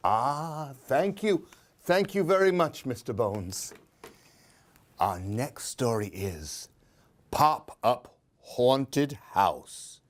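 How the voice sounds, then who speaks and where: A man hosting a story time, reading Halloween picture books aloud in a theatrical way, with questions and asides for young listeners. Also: medium pitch. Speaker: storyteller and host of a children's book show. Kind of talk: expressive read-aloud of children's Halloween stories.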